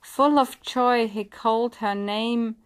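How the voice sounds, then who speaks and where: Slow-paced woman storyteller. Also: on the high side, steady tone, clean tone. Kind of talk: scripted storybook narration.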